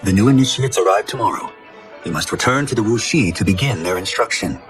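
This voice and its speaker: An actor playing a humble, dutiful young warrior monk in a video game, speaking politely, formally and sincerely. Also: male voice, on the low side, lively intonation, fast talker, faint music behind, close to an everyday voice. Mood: polite, modest.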